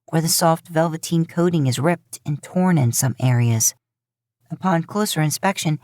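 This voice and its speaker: Low-voiced woman narrating fiction. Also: a little mellow, no echo, studio quality. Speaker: podfic narrator. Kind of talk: scripted fan fiction narration.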